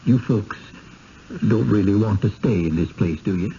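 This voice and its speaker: An actor in a 1970s radio drama playing a folksy, uneasy village servant with a rural American accent, halting and plain-spoken. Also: male voice, lowish voice, mellow, natural voice. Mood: urgent, foreboding. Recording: muffled, noisy old radio broadcast.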